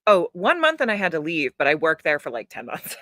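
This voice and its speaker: Bright-voiced, profane woman guest. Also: low-pitched, wildly swooping pitch, a little fast, dry recording. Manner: frank, profane, storytelling. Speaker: guest, actor and audiobook narrator. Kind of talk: casual interview chat.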